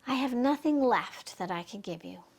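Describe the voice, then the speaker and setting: A woman's voice: on the high side, animated, normal speed, slightly rough. A woman storyteller voicing a hesitant, troubled young miller's daughter in a fairy tale. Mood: helpless. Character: distressed young miller's daughter, later queen.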